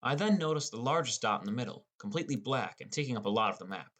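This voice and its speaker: A man's voice: medium pitch, animated, quick pace, fairly bright tone. A man narrating a scripted first-person story as an audiobook narrator.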